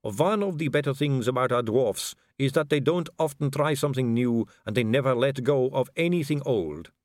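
A man voicing a suave, poised aristocratic female vampire, speaking slowly and silkily. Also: mid-range voice, a little mellow, no room sound, clean studio sound, voice pushed up, close to an everyday voice. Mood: silky. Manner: slow, silky, languid delivery.

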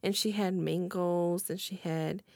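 A woman's voice: fairly low pitch, fairly even intonation, unhurried, creaky, darkish tone, no room sound, clean studio sound. A young woman co-hosting a casual chat, teasing and laughing easily, with headphones on at a desk mic. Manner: giggly, teasing. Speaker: podcast co-host.

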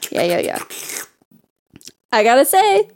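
A woman's voice: sits high, sing-song, unhurried, crowd noise. A woman co-hosting a podcast, reading a racy story aloud and breaking off for giggly, profane banter. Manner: giggly, profane, reads then riffs. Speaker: podcast co-host reading stories.

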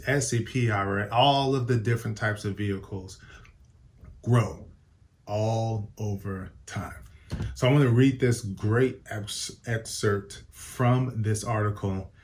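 Lower-pitched upbeat man giving money advice. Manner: upbeat, emphatic, repeats and corrects himself. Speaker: personal finance show host. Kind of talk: casual advice talk to camera.

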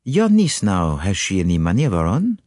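A man's voice: low voice, wildly swooping pitch, moderate pace, a little mellow, no echo, studio quality. A man reading an audiobook, voicing a fussy, courteous foreign male detective who speaks precisely and drops French phrases. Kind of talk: audiobook character voice.

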